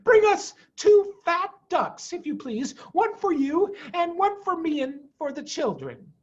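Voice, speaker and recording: A man voicing a gentle, anxious vixen wife in a storybook, sweet and fretful. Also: very high-pitched, sing-song, a little slow, muffled recording, pitched above the performer's own voice, heavily acted. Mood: sweet, coy.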